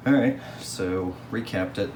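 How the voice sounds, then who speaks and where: A man's voice: low-pitched, unhurried. A man giving casual hands-on repair commentary, thinking aloud in a hesitant way as he works. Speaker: radio restoration hobbyist. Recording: clear background noise.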